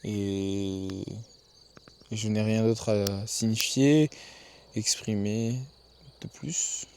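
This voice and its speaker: Man, casual video diary, thinks out loud, frequent restarts, recorded outdoors in the countryside, faint insect noise. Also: on the low side, fairly even intonation, drawn-out words. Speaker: video journal keeper.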